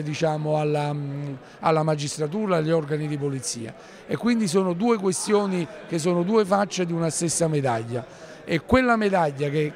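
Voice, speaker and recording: Guy in his 50s, slightly high voice, formal interview answers, careful and wordy. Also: darkish tone. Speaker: mayor being interviewed. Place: large indoor council hall. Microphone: handheld interview microphone.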